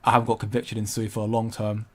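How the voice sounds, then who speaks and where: A man's voice: fairly low pitch, completely flat delivery, very fast. Rambling young male crypto streamer. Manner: rambling, hesitant, many restarts, laughs often. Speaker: crypto livestream host. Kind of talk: casual livestream chat reading viewer comments.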